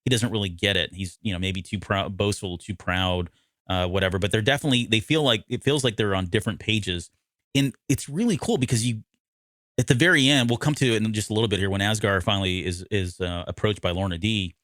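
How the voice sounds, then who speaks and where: Male podcast co-host, lower-pitched, dry close sound, conversational, self-correcting recap delivery. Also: brisk, a bit bright, no room sound. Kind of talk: casual discussion recapping an audio drama.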